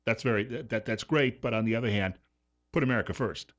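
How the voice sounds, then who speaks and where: A man hosting a talk radio show, chatting loosely with a guest and filling pauses with "uh" as he reads out call-in details and asks questions. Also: medium pitch, muffled recording. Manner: conversational, loose, with frequent fillers.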